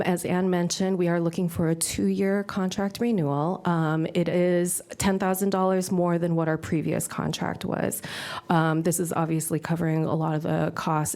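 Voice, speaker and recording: Woman at a council podium, slightly low-pitched, scratchy with a cold, earnest and upbeat, lots of ums. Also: darkish tone. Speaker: presenter to city council. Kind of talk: public presentation at a council meeting. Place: city council chamber.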